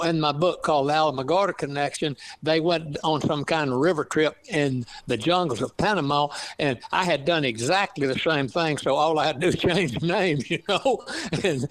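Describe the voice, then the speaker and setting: An older man in his eighties with an East Texas accent, chatting as a guest author, halting with many fillers and restarts. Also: a little high, low-quality recording. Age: 80s. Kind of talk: casual interview chat.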